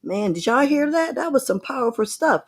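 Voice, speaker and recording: A woman's voice: big pitch swings, moderate pace, slightly rough. A woman hosting a casual talk show, chatty and upbeat, encouraging listeners to call in. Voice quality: describes her own voice as deep. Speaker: show host. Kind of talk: casual radio-style talk show chat.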